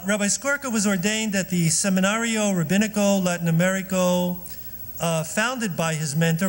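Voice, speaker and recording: Man, host introducing a speaker, high voice, chatty with fillers, long hall reverb. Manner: chatty, joking asides with many fillers. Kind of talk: introductory remarks at a synagogue event. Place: synagogue hall.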